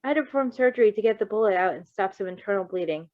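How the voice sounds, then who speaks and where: A woman voicing a calm, reassuring male doctor with a lightly wry manner in a story reading. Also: mid-range voice, rapid-fire, no room sound, close to an everyday voice. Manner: assured, kindly, lightly wry. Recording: dry, slightly muffled recording.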